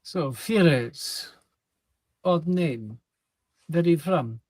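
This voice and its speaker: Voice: mid-range voice, expressive, a little mellow, no echo. A game master narrating a tabletop role-play in a casual, laughing way. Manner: casual, laughing, quick asides.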